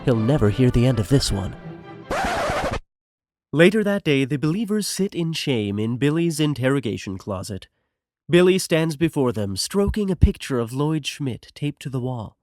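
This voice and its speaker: A man's voice: on the high side, dry recording, studio quality. A man narrating a scripted comedy story in a playful, conversational and wry way. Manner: wry, animated.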